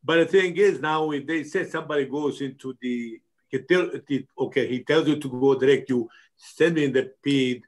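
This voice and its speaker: A man's voice: medium pitch, fairly quick. A man at a training meeting asking a question hesitantly, often restarting his sentences. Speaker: meeting attendee.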